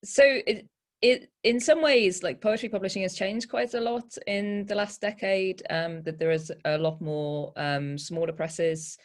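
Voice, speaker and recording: A woman poet giving informal panel advice in a candid, self-deprecating, halting way. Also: mid-range voice.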